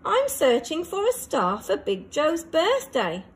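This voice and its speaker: A woman voicing a small, stubborn young female rocket in a children's storybook, excitable, determined and emphatic. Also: sits high, slow, full character voice. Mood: earnest.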